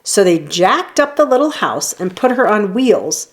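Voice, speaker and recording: A woman reading a children's story aloud in an expressive storytelling manner, leaning on key phrases. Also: mid-range voice, unhurried. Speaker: storybook reader. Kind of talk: children's read-aloud narration.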